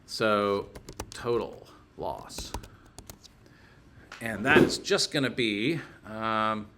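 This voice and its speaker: A man's voice: on the low side, expressive, extremely slow, very noisy. A man teaching a casual hands-on technical lesson, informally thinking aloud as he works through each step.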